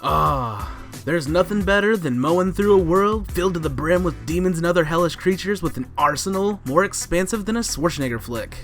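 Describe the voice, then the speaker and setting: Male countdown co-host, higher register, lively swings, exaggerated comic scripted read, faint music underneath. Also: fairly quick. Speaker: co-host of a movie countdown show. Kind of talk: scripted comedic countdown narration.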